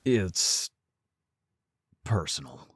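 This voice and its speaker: Male actor as gruff werewolf brother, deep voice, husky rasp, blunt, guarded, protective, teasing, audio roleplay. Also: sing-song, very slow, a bit bright, no echo, clean studio sound, exaggerated voice. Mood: guarded, serious.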